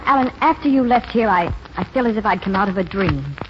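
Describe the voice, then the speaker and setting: A frank, yearning young small-town woman played by an actress in a vintage radio drama. She talks quickly at a middle pitch. The old recording is dull and faintly noisy. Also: animated, close to an everyday voice. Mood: wistful, bitter. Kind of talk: 1940s radio acting.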